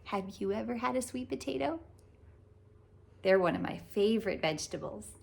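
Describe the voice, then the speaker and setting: A woman hosting a read-aloud as a children's author, narrating a picture book in a deliberate, animated way for young children. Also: medium pitch, creaky. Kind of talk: expressive children's storybook narration.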